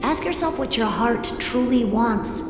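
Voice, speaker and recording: A woman acts out a solemn game oracle with a fairly high female voice that rings through a long reverb. She speaks cryptically and deliberately, with music clearly playing beneath her. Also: natural voice. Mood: gentle counsel. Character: solemn, enigmatic female oracle explaining fate to another. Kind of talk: dramatic video game story dialogue. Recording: echoey reverberant hall, music underneath.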